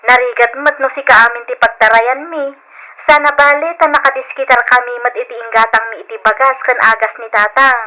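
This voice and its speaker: A woman's voice: fairly high pitch, fairly even intonation, fairly quick, sharp tone, poor audio. A woman playing a dutiful, considerate young provincial sister in an old Philippine radio drama. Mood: earnest protesting.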